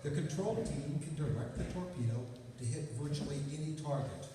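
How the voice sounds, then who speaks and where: A man playing a calm, worldly gentleman detective in an old-time radio drama, measured and explanatory. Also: fairly high pitch, a little hiss or hum, close to an everyday voice. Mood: calm, knowing. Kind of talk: 1940s radio drama acting. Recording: echoey hall from far off.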